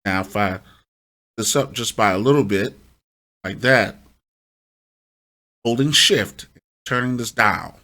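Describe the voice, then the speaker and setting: A man's voice: fairly low pitch, slow, pauses often, pushed and bright, slight background noise. A man hosting an informal step-by-step tutorial, instructing and often checking in with short tag questions. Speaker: host of a music production tutorial.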